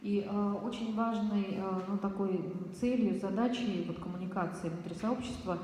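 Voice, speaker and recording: A woman's voice: medium pitch, fairly even intonation, normal speed, dark tone. A woman interpreting a talk and adding her own explanation, hesitating with many fillers. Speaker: interpreter and organizer. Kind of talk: consecutive interpreting and commentary. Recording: roomy hall with long echo.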